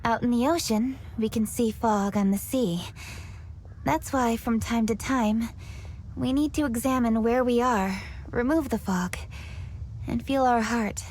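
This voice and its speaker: A woman voicing an earnest, driven young woman in a game cutscene, speaking in a composed, thoughtful, measured way. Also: fairly high pitch, darkish tone, slight background noise, lightly acted. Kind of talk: video game cutscene dialogue. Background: game music.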